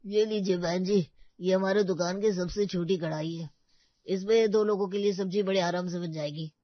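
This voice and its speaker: A woman's voice: on the low side, fairly even intonation, normal speed, no echo, muffled recording, natural voice. A woman doing a polite shopkeeper. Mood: courteous. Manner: polite, salesmanlike. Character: courteous male shopkeeper.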